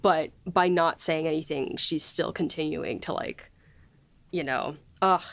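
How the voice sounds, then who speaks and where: A woman co-hosting a podcast in casual conversation, animated and acting out bits of dialogue as she talks. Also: deep voice, a little slow, creaky, dry recording. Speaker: podcast co-host and writer.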